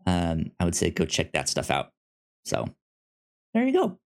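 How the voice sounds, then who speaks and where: A man co-hosting a podcast, chatting casually about films in a halting way, often stammering and repeating syllables. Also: low-pitched, wildly swooping pitch, vocal fry. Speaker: podcast co-host. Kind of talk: casual film review chat.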